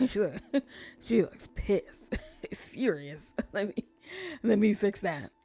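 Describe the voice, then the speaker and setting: A woman streamer chatting casually while she plays, soft-spoken and sometimes nearly whispering. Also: mid-range voice, lively intonation, takes it slowly, slightly rough. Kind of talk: casual gameplay commentary. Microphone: desk streaming mic.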